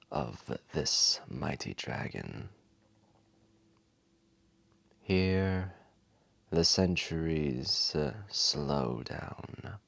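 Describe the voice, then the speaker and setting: Ancient male dragon: weary, imposing storyteller, gravelly and breathy, swooping intonation, close dry sound, audio roleplay. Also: a bass voice, fairly bright tone, dry recording, full character voice. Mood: soothing, solemn. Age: old. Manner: grandiose, unhurried, dramatic pauses.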